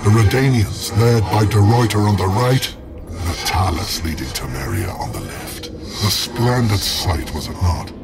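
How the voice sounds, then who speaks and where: An actor playing the stern, proud ghost of an army standard bearer in a video game, speaking in a formal, archaic, declamatory way. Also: male voice, lowish voice, expressive, a little slow, vocal fry, very noisy. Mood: suspicious, proud. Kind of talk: dramatic video game acting. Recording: game music under the voice.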